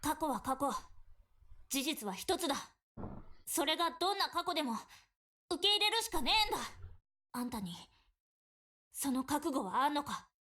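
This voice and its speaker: An actress voicing a rough-spoken, blunt and protective young woman in a visual novel game, gruff and tomboyish. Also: high voice, lively intonation, quick pace, pauses often, pushed and bright. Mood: intense. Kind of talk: voiced visual novel dialogue.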